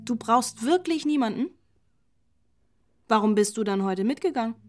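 A woman's voice: fairly high pitch, animated, moderate pace, a little mellow, faint music behind, close to an everyday voice. An actress playing a probing, concerned young woman in a radio drama, with a direct, questioning manner. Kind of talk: naturalistic radio drama acting.

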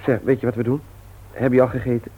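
An actor playing a busy, sociable brother in a radio play, talking in a brisk, frank, conversational way. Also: male voice, medium pitch, level tone, lightly acted. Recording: tinny, noisy old radio recording.

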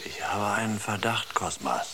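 An actor playing a bitter, suspicious engineer in a radio drama, speaking in sardonic, accusing short phrases. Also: male voice, fairly low pitch, expressive, normal speed, close to an everyday voice. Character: bitter, scornful, drug-worn male engineer, suspicious of comrades. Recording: roomy echo, clear background noise.

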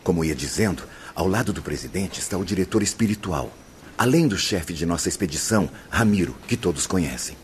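An actor with a Brazilian accent playing a solemn, courteous spirit guide who explains formally in a radio drama. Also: male voice, low-pitched, expressive, brisk, slight background noise. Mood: patient, instructive. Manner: formal, didactic.

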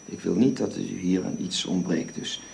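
Courteous father and employer, middle-aged, creaky voice, formal hesitant phrasing, old radio drama, roomy hissy recording. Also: deep voice, moderate pace, a little mellow. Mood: brisk, kindly. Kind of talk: Dutch radio drama acting.

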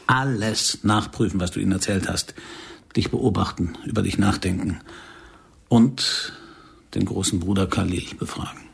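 An actor in a radio drama playing a controlled, brooding secret-service handler who speaks in a measured, grave way. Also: male voice, sits low, gravelly, husky, heavily acted. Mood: serious briefing.

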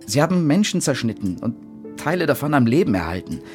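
A male actor plays a driven police detective on a monster hunt in a radio drama. He sounds urgent and insistent in a medium-pitched voice. Faint music runs behind him. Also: big pitch swings, brisk, a little mellow, close to an everyday voice. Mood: grimly explaining. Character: intense, obsessed male police investigator hunting a monster. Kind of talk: German radio drama acting.

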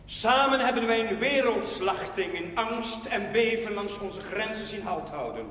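A solemn village priest preaches from the pulpit in a high voice. He speaks slowly and rhetorically, weighing each phrase, as in a period television drama. The sound rings through a long, echoing hall. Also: lively intonation, fairly bright tone. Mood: solemn, impassioned. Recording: echoey church-like hall with hiss.

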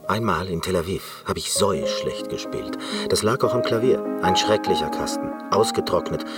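Obsessive reclusive pianist: very low voice, deliberate, intense radio drama monologue, music behind. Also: male voice. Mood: reflective, intense. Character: brooding eccentric male concert pianist, obsessive and reclusive.

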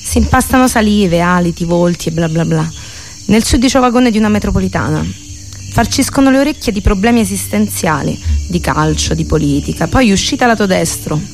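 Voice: mid-range voice, expressive, a little fast. A performer reciting a poetic monologue in a theatrical, literary manner. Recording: music behind the voice. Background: music.

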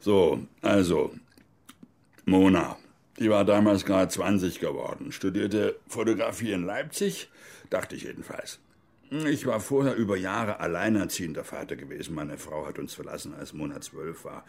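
A man's voice: sits low, normal speed, vocal fry, a little mellow. An actor playing a stern, agitated older army major and father in a radio crime drama. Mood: reflective recounting. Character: stern, agitated East German army major, father, recounting his past.

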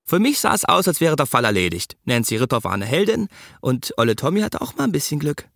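A man's voice: on the high side, very animated, fairly quick, creaky, fairly bright tone, full character voice. A man playing a cocky young small-time crook who narrates his own radio drama story, breezy, boastful and wry.

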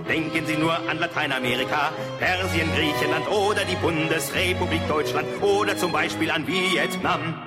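A man performing a satirical political song, half singing and half speaking with a sardonic, smug manner. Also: on the high side. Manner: sardonic, addressing the listener as a smug capitalist. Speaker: satirical singer-songwriter. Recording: recorded song with prominent musical accompaniment. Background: musical instrument accompaniment.

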